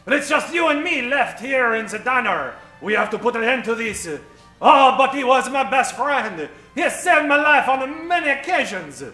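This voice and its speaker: Man playing a high-pitched, pompous radio-play doctor. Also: expressive, normal speed, pushed and bright, reverberant, faint music behind, exaggerated voice. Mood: soothing, regretful. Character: pompous, fussy older male doctor, grimly comic scientist. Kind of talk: comic old-time radio play acting.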